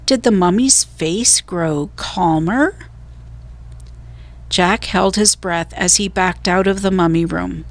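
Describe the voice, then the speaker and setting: A woman narrating a children's storybook as a reader, with deliberate, suspenseful storytelling. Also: mid-range voice.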